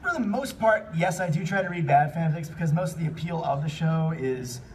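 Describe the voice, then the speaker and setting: An excitable man hosting a live comedic fan panel, reading and chatting with the audience, often bursting into shouts and laughter. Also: fairly high pitch, a little fast. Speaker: panel host and fanfic reader. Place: convention panel room. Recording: distant mic in noisy convention room.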